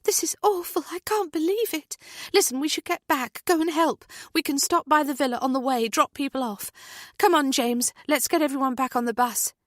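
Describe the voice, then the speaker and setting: A woman voicing a caring, take-charge friend who speaks briskly and reassuringly. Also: sits high, no echo, studio quality, pitched above the performer's own voice, lightly acted.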